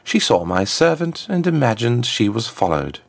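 A man's voice: medium pitch, very animated, normal speed, voice pushed up. A man voicing a smug, formal woman ambassador in a story, with silky menace in a lifted voice. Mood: dismissive. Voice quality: smooth, lifted contralto. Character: smug, haughty, athletic woman ambassador and villain.

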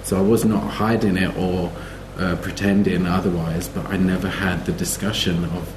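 A man with a deep voice shares a personal account as a panelist. He speaks somewhat haltingly. The room rings around him and there is clear background noise. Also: flat delivery, reverberant. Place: live festival panel event.

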